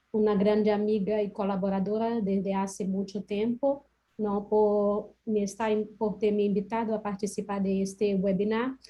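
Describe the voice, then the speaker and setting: A woman's voice: mid-range voice, mellow. A woman from Brazil with a Portuguese accent, giving an academic webinar talk over a video call, hesitant with many fillers. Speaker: guest lecturer.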